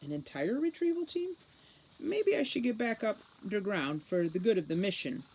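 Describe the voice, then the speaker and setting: A woman's voice: mid-range voice, very animated, normal speed, darkish tone, slight background noise, pitched above the performer's own voice, poor audio. A crude little male dwarf thief from a fantasy storybook, put on by a woman reader. He is cocky and self-serving, talking in a boastful, wry way. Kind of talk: audiobook children's fantasy character voice.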